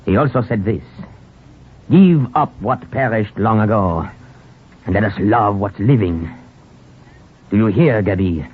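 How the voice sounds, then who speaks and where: A steady, tender confidant with a very low voice, consoling in earnest. The delivery is measured 1950s radio drama acting, on a muffled old broadcast. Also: male voice, breathy edge.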